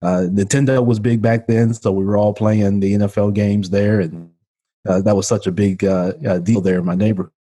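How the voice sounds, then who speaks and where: A man's voice: lowish voice, steady tone, moderate pace, warm tone. A man, talking earnestly as a podcast guest and leaning on "you know" fillers. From Memphis, Tennessee. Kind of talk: casual interview talk. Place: home room. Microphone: studio condenser mic with pop filter.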